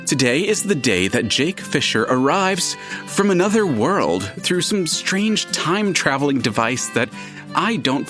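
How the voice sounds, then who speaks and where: A man playing a chatty, curious, all-knowing narrator in an audio drama, with a dramatic and deliberate storytelling manner. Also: medium pitch. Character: chatty omniscient male narrator, theatrical and curious.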